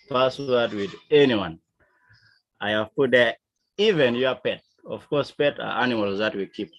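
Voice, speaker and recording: A man from South Sudan with a South Sudanese accent, teaching a lesson in a deliberate, emphatic manner. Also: medium pitch, low-quality recording. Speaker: instructor. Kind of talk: digital literacy lesson, explaining to learners.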